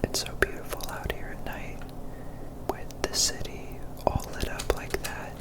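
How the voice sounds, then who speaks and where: Whispering woman, ASMR gameplay commentary. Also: measured pace. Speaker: gaming streamer.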